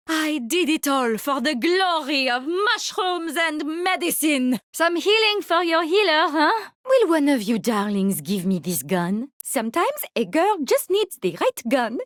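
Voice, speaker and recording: A macabre French lady doctor from a video game, obsessed and giddy. Her voice sits high and leaps about in pitch with theatrical, genteel relish. The sound is dry, with no room around it. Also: very animated, a little slow, few pauses, fairly bright tone, no room sound, exaggerated voice. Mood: giddy, smug. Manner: theatrical, gleefully morbid, genteel wording. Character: giddy, macabre woman mad-scientist fungus doctor. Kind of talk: video game hero voice lines.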